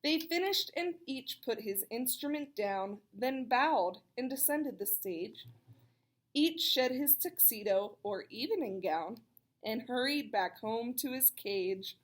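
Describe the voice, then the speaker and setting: A woman reading a children's picture book aloud, expressive and chatty, with casual explanatory asides for young listeners. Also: fairly high pitch, slow pace. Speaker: read-aloud storyteller.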